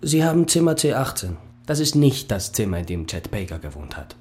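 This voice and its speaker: An actor playing a polite hotel receptionist who speaks in a matter-of-fact, formal way. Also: male voice, fairly low pitch, big pitch swings, fairly quick, noisy background.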